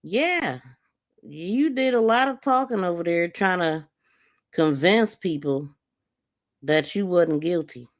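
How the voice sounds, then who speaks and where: A woman's voice: lowish voice, expressive, slow, pauses often. A woman hosting a crime podcast, giving casual, blunt commentary in an exasperated, scornful manner with emphatic repetition and swearing. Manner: emphatic, repeats phrases mockingly. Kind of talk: casual live commentary on played audio.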